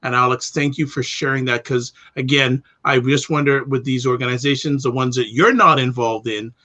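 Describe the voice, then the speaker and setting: Middle-aged host on a video call, sharp bright voice, asks pointed questions. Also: male voice, medium pitch, steady tone, moderate pace. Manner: probing, questioning. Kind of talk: interview show. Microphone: webcam on video call.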